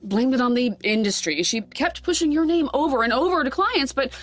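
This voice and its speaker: A woman's voice: high-pitched, animated, a little fast, faint music behind. An American actress playing an anxious, protective mother in an audio drama, speaking fretfully and emphatically in a naturalistic style. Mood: hesitant, apologetic. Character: anxious, protective, indignant mother of a teenage daughter.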